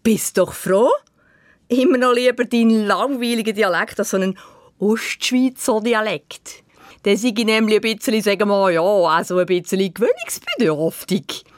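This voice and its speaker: A woman with a mild Zug Swiss German accent reads a humorous column with a wry, ironic edge. Her pitch swings widely as she plays with the words, and the recording is close and completely dry. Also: no room sound. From Canton of Zug, Switzerland. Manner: wry, ironic, playfully self-mocking.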